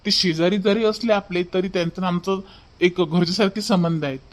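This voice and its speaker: Man acting as stern young husband, high male voice, scolding, upset, cartoon dub. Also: fairly even intonation, fairly quick, natural voice. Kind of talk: dubbed cartoon family drama.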